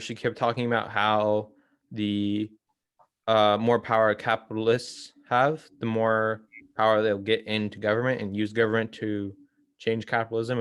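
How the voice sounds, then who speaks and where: A man's voice: lowish voice, no room sound. A man hosting an informal book discussion, explaining ideas in a hesitant way and often correcting himself. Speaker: host of a political theory read-along.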